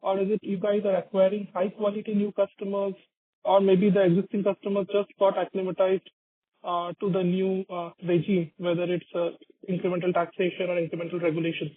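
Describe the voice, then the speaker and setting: A man's voice: high-pitched, completely flat delivery, a little fast. A man with an Indian accent, an analyst asking detailed, unscripted questions on a business call. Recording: dry, phone-like conference line.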